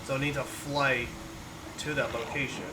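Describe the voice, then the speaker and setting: A man giving amateur commentary on a video game's story, explaining it haltingly and stumbling over names. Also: medium pitch, steady tone, noisy background. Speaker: gaming video narrator. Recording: distant mic under loud game music. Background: video game music.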